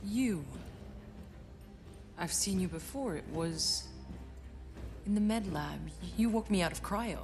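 A woman's voice: medium pitch, slow, long pauses. A woman playing a tough, cynical young soldier in a video game, with a blunt, wry delivery. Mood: questioning. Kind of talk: naturalistic video game cutscene acting. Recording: long reverb, music behind.

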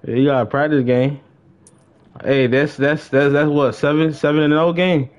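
A man's voice: on the high side, moderate pace. Young man streaming, slightly muffled mic. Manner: slangy, excitable, repeats phrases. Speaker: live game streamer. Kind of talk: casual livestream gaming chat.

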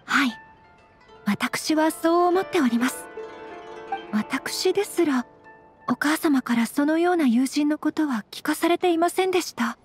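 Casting: refined young lady for an anime game, high-pitched, formal, gentle and composed. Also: unhurried, soft background music. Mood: earnest, composed. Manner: very formal honorific speech, composed and courteous. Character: polite, refined, gentle young noblewoman of fantasy Japan. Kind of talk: Japanese anime game voice acting.

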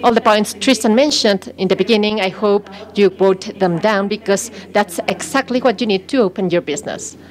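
A woman's voice: mid-range voice, moderate pace, hardly any pauses. A woman working as a simultaneous interpreter at a conference, delivering a talk in short, piecemeal phrases.

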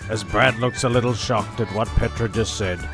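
Male narrator, gravelly, mid-pitched, for a scripted comedy tale over music. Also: normal speed. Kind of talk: scripted comic storytelling narration.

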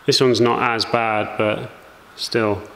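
A man in his thirties casually showing a how-to in his workshop, relaxed and full of fillers. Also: fairly low pitch, takes it slowly. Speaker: welding fabricator, channel host. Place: workshop with bare walls, indoors. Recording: echoey workshop, some noise.

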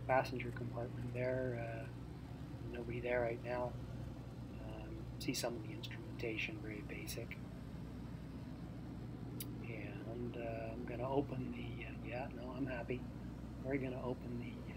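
A middle-aged man narrating casually as a pilot, in a halting, offhand way, thinking aloud. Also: mid-range voice. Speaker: pilot and vlogger. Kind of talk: casual walk-around and flight narration. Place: outdoors on an airfield and in a small plane cockpit. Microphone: handheld phone. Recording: noisy phone recording around an aircraft. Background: aircraft and open-air noise.

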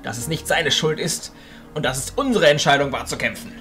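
A man's voice: fairly high pitch, expressive, brisk, pushed and bright, faint music behind. An actor playing a resolute male archchancellor of a magic university, authoritative and formal, in an audio drama. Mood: grave.

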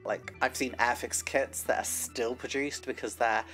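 A woman's voice: extremely low pitch, steady tone, moderate pace, fairly bright tone, soft background music, rough recording. A woman hosting a casual scale-model vlog, chatty and self-deprecating with lots of fillers. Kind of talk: casual hobby vlog with read history segment.

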